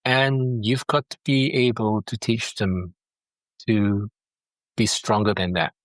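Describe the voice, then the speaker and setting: Earnest man from Singapore, lowish voice. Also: slow, dry recording, rough recording. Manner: earnest, repeats words while thinking. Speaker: foster dad, guest. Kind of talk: heartfelt interview over a call. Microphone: video call.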